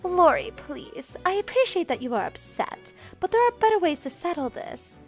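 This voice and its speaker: A woman voicing a calm, poised maid who speaks smoothly and gently scolds. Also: very high voice, moderate pace, faint music behind, voice pushed up. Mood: soothing. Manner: smooth, soothing, genteel.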